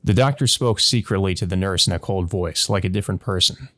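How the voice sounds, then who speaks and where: A man's voice: low-pitched, a little fast, a bit bright. A man narrating a visual novel as a let's play host, reading aloud and adding hesitant commentary of his own.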